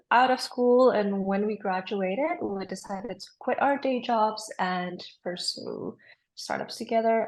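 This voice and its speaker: A young woman from Indonesia talks through her startup in a casual interview. She sounds upbeat and explanatory, sometimes pausing on an um. Her voice sits at a middle pitch. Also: a little mellow, rough recording. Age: young adult. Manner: upbeat, explanatory, with frequent ums. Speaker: startup co-founder guest.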